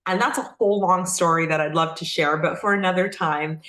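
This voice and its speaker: Echoey, lowish-voiced middle-aged woman. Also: fairly even intonation, brisk, fairly bright tone. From Texas, United States. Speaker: interview guest, company founder. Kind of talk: casual conversational storytelling. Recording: echoey room sound.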